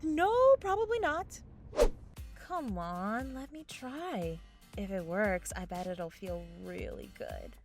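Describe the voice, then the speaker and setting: A woman's voice: mid-range voice, big pitch swings, slow pace, over music. A woman playing a flighty, sheepish young fairy in a fantasy comedy audio drama, evasive and casual in manner. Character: flighty, sheepish young female fairy who loses magic gems.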